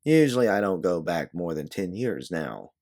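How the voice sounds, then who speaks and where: A man's voice: low-pitched, sing-song, normal speed, darkish tone, full character voice. A man voicing a blunt, sarcastic, embittered woman time traveler on the run in an audio story. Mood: weary, explaining.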